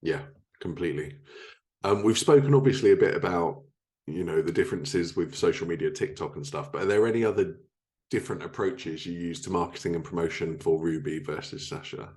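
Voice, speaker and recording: A man's voice: low-pitched, fairly quick, a little mellow. A man hosting a podcast, chatting casually as he interviews a guest. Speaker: podcast host.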